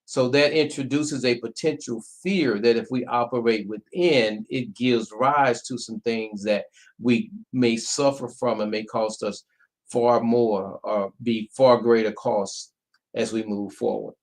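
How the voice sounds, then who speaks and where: Male panel host, even mid voice, thoughtful and measured. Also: medium pitch, poor audio. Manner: measured, reflective, calls on guests by name. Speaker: host, minister leading panel. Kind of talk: casual panel discussion.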